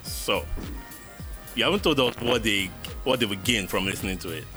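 A man with a low voice asks interview questions, and his pitch moves up and down a lot. He praises each answer before asking the next question. Music plays clearly behind him. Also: expressive, normal speed.

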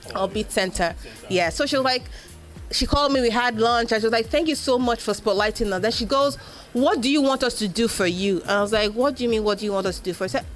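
A lively Nigerian woman whose first language is Igbo, answering interview questions with energy. She breaks into acted-out quotes and uses lots of "um" and "like". Her voice sits in a middle range, with faint music behind her. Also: mid-range voice. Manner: animated storyteller, acts out quoted voices, frequent fillers. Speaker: podcast host being interviewed. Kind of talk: live panel interview.

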